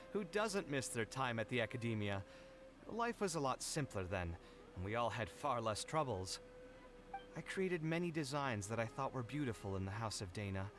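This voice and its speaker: An actor playing a friendly, wistful young architect in a video game, speaking in an articulate, theatrical way. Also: male voice, medium pitch, animated, normal speed, over music, natural voice. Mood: nostalgic. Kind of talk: video game character dialogue.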